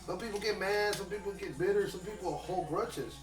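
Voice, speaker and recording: A man who speaks as his rattles off his story at a fast clip, loose and emphatic, full of slang and swearing. His voice sits a bit high for a man. He sounds echoey and set back from the mic, with music clearly playing behind him. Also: far from the mic. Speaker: rapper. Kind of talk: casual interview chat.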